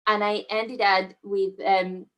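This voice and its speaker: A middle-aged woman from southern Spain talks an online audience through her slides. She speaks in a friendly, measured way, with plenty of small fillers, in a voice of middling pitch. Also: little pitch movement, a bit bright. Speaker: guest speaker. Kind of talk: presenting a talk over slides. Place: online video call.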